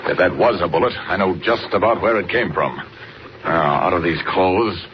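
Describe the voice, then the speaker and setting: An actor playing a brave, commanding superhero in a 1940s radio serial, thinking aloud in urgent declamatory lines. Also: male voice, low voice, moderate pace, lightly acted. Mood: urgent, determined. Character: male superhero reporter in secret detective action, brave and commanding. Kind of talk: 1940s radio serial acting, narrating his own actions. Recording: noisy, muffled 1940s radio recording.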